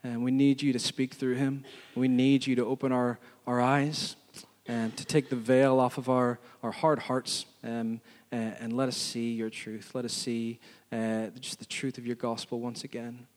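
A church leader making announcements and leading a spoken prayer, earnest and halting, with frequent pauses. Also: male voice, mid-range voice, level tone, a little mellow. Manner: earnest, halting, pausing often. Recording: long reverb in a church hall.

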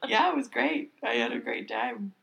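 A young woman co-hosts a chatty podcast, her voice bright and a touch tense, with a slight rasp. She laughs a lot and throws in playful asides. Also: fairly high pitch, lively intonation, normal speed. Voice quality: slightly raspy today. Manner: bubbly, laughs often, jokey asides. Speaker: podcast co-host. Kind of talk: casual chat.

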